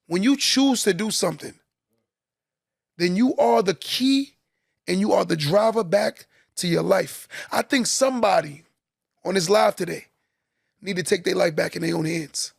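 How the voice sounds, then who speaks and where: High gravelly guy preaching on a live podcast, dry close sound. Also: no echo. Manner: preachy, repeats key lines for emphasis. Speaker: podcast host. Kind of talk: motivational live-stream talk.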